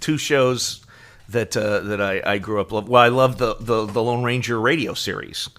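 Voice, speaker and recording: A middle-aged man co-hosting a podcast, explaining things casually as an enthusiastic fan, often in halting lists. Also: lowish voice, steady tone, fairly bright tone. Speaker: podcast co-host.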